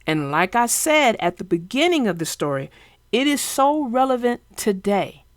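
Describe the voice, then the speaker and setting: Expressive, high-pitched woman storyteller. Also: moderate pace, dry recording, clean studio sound. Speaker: children's storybook narrator and host. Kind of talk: read-aloud storytelling and explaining to children.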